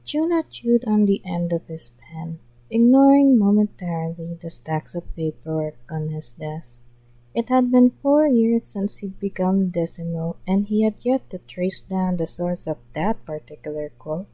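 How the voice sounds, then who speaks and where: A woman reading a fan fiction story aloud as a podfic in calm, even narration. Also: medium pitch, dry recording, low-quality recording. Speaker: podfic reader. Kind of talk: scripted narration.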